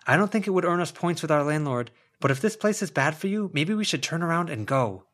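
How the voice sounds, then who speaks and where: Male reader as worried, conciliatory young woman, gently hesitant, raised pitch, audiobook character. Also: expressive, fairly quick. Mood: wary. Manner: hesitant, placating.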